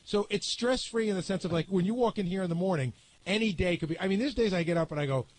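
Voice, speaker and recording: A man's voice: high-pitched, fast talker. A man in a heated, casual radio panel discussion, arguing bluntly and with some profanity. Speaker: radio show staff panelist.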